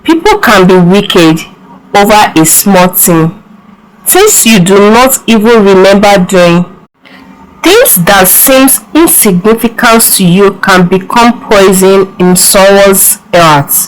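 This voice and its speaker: A woman narrates a scripted folktale at a very slow pace. Her pitch sits in the middle range, and her delivery is measured and solemn, like careful storytelling. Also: expressive, low-quality recording.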